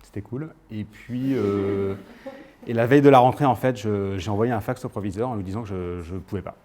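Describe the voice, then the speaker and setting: Low-pitched Frenchman telling his story, hesitant. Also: brisk, darkish tone. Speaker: guest speaker. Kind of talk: personal career-story talk to an audience.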